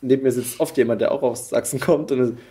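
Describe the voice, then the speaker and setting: A man's voice: medium pitch, fairly even intonation, fast talker, mellow. A man from Saxony in eastern Germany hosting a podcast, chatting casually and telling stories with a ready chuckle. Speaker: podcast host. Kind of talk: casual chat.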